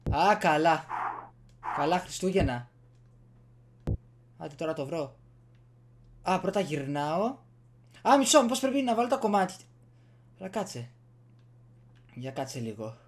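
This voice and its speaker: A man's voice: a little high, expressive, pauses often. A young man with a Greek accent giving casual video game commentary, muttering and exclaiming to himself, recorded at home on a desk microphone. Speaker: gaming streamer. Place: small room at home. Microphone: desktop USB microphone. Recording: game music behind the voice.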